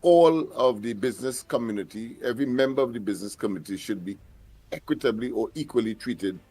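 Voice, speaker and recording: A man with a Trinidadian accent giving political commentary as a talk show host, speaking in a wordy, formal, halting and deliberate way. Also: medium pitch, mellow, slightly rough.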